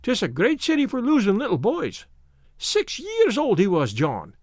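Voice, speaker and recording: A sentimental, quarrelsome Irish immigrant wife from a storybook, acted out by a male reader. The voice is high and swings widely, with a lilting, plaintive brogue. The sound is close and dry. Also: wildly swooping pitch, normal speed, dry recording, exaggerated voice.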